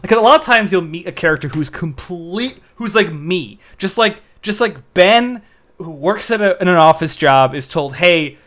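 Young man, podcast co-host, slightly higher voice, casual movie chat, rambling delivery. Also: animated, moderate pace, a bit bright. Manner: rambling, restarts sentences, many "like"s.

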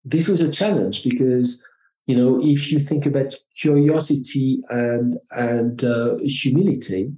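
Slow-talking middle-aged Frenchman with a French accent. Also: mid-range voice, fairly even intonation, dark tone. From France. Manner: measured, halting, with frequent restarts. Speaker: marketing executive guest. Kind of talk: reflective interview answers. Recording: roomy echo.

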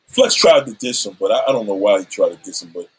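Very husky old man ranting profanely. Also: lowish voice, animated, quick pace. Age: 70s. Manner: combative, profane, threatening. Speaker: livestreamer. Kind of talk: profane livestream rant.

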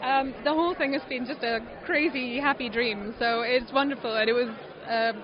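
A woman's voice: fairly high pitch, steady tone, normal speed, highs cut off. Woman author gushing happily, a bit away from the mic, busy crowd. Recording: distant mic in a noisy crowd.

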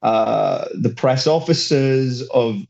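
A man's voice: medium pitch, slow, creaky, a little mellow, lo-fi sound. A man working as a football reporter, chatting casually on a podcast, enthusiastic and rambling, often restarting his sentences. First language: not German; German is his second language.

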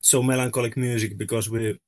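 A man from Finland, a guest musician speaking haltingly with dry humor. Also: medium pitch, fairly even intonation, dry recording. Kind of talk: casual podcast chat.

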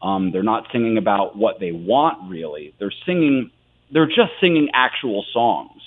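A man in his thirties with an American accent, giving animated, earnest answers as a theater guest in a conversational interview. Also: fairly low pitch, a little slow. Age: 30s. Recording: tinny phone-like sound.

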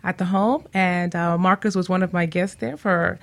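A woman's voice: on the low side, fairly even intonation, normal speed, creaky. A woman guest on a radio show, speaking casually about herself and her work. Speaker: guest, property manager. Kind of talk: casual interview introduction.

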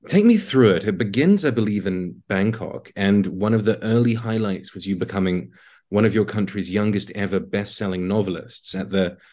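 A man hosting a conversational interview, phrasing his questions in a measured, deliberate way. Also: lowish voice, a little mellow. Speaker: interview host.

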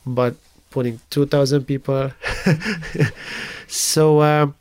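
Halting Transylvanian man. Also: on the high side, dry recording. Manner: halting, many fillers and restarts. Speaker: interviewee. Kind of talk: casual conversational storytelling.

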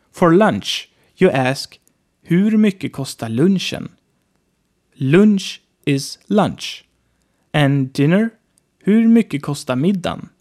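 A man teaching a casual spoken language lesson, relaxed and chatty, repeating phrases slowly. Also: fairly high pitch.

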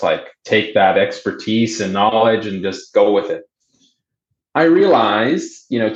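A young man who first learned Portuguese, telling his story in a casual interview with many fillers and restarts. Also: lowish voice. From Boston area, United States. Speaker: guest. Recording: roomy, dull remote audio.

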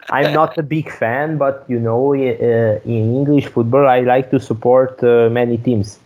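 A man talks slowly and hesitantly, pausing on fillers as he hunts for words. His voice is mellow and dark, and the recording sounds a little dull. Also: mid-range voice, steady tone. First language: Hungarian. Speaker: guest. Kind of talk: casual chat.

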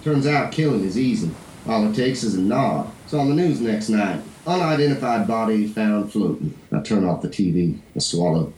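An actor playing a bitter, nihilistic drug dealer turned prisoner, telling his story in a brooding, deliberate radio-play monologue. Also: fairly low pitch, expressive, warm tone, lightly acted. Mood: paranoid, tense. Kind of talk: dramatic monologue in a 1940s-style radio play. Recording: crackling old-radio fuzz, roomy echo.

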